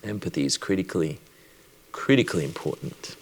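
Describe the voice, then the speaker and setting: A man from Sri Lanka who works as a doctor, giving a personal conference talk in a reflective, measured way with deliberate pauses. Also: sits low, lively intonation, slight background noise, low-quality recording.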